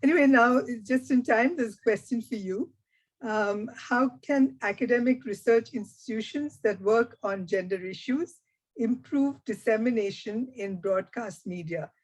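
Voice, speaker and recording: A woman moderating a webinar over a video call, briskly reading out audience questions to the panel. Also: a little high, low-quality recording.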